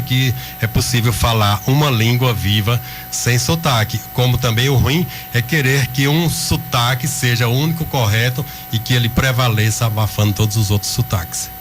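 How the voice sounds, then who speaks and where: A man from the Pernambuco backlands, a writer and linguist, speaking as a guest in a radio interview with a thoughtful, measured manner. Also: medium pitch. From Pernambuco sertão, Brazil. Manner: thoughtful, measured, slightly professorial.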